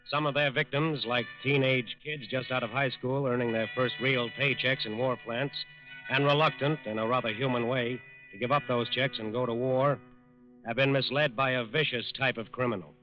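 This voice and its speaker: A man's voice: mid-range voice, fairly even intonation, normal speed. An American man giving earnest, formal scripted narration in 1940s radio style. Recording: muffled 1940s radio recording, music behind.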